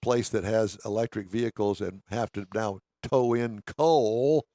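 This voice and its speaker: A man's voice: on the low side, a little slow, dark tone, no room sound, studio quality. A man hosting an automotive radio show, reading items and bantering in a wry, emphatic way.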